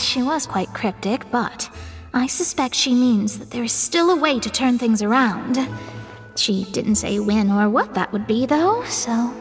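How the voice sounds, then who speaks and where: High-voiced young astrologer witch. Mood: thoughtful. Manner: formal, measured, articulate delivery. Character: young female astrologer witch, proud, earnest, compassionate. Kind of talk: English video game dialogue acting. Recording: long reverb, music behind. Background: game music.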